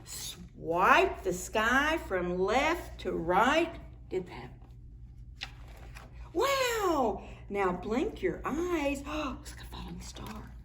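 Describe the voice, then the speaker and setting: Children's librarian, woman, slow and lively story time read-aloud, faint room hiss. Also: a little high. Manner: animated, sing-song, slips into soft whispers.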